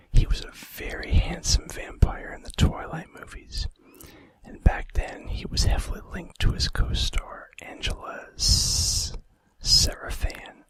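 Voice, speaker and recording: A man narrates in a breathy whisper for an ASMR audience. His delivery is hushed and deliberate, like reading a script, with casual asides in the same unvoiced tone. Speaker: ASMR video host.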